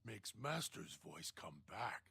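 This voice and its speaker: A man's voice: low-pitched, very animated, gravelly, bright, forceful tone, slightly rough, dry recording, full character voice. A man voicing a feral, broken-minded servant who calls himself Dog and talks of himself in broken third person. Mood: haunted. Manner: halting, childlike, fearful of an inner voice.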